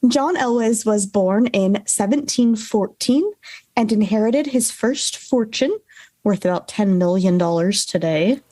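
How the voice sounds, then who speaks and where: A woman's voice: mid-range voice, lively intonation, a little slow, dark tone, dry recording. A woman co-hosting a podcast, telling a researched story in a casual way. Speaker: podcast co-host. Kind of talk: storytelling from notes with casual banter.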